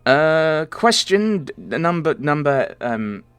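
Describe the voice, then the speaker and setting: A man's voice: on the high side, big pitch swings, takes it slowly, fairly bright tone, smooth. A young man from Merseyside answering viewer questions in a casual, rambling voice-over, with many ums and self-corrections. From Merseyside, England. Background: faint game music.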